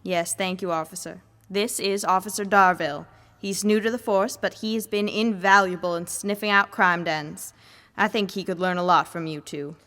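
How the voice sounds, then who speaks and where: A woman's voice: lowish voice, fairly even intonation, normal speed, a bit bright, close to an everyday voice. A woman playing a composed, businesslike police chief in an old-time style radio drama. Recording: long reverb.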